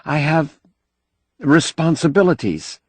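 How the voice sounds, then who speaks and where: A man voicing a gentle, hesitant, reluctant young woman in an audiobook. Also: fairly high pitch, animated, takes it slowly, slightly rough, no echo, pitched above the performer's own voice, full character voice. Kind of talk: audiobook character dialogue.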